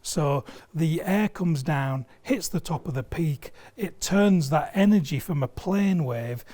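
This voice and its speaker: A man's voice: fairly high pitch, animated, slow, mellow. A man giving an enthusiastic product explanation in conversation, emphatic and fond of everyday analogies. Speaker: loudspeaker company sales director, guest. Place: video studio.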